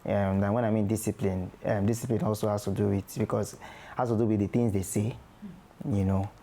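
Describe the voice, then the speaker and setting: A man, a guest father, talking slowly with many ums and restarts. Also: lowish voice, little pitch movement, dark tone. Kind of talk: candid podcast conversation.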